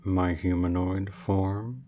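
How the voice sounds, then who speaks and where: A man voicing an ancient, aloof male dragon in human form, speaking in a formal, measured and reserved way for an ASMR roleplay. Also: deep voice, fairly even intonation, mellow, slight background noise. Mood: calm, aloof.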